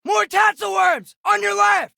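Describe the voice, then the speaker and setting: A man's voice: extremely high pitch, steady tone, unhurried, gravelly, bright, forceful tone, dry recording, shouting, exaggerated voice. A young male actor playing an alert teenage boy warrior in a video game, giving short, urgent combat callouts.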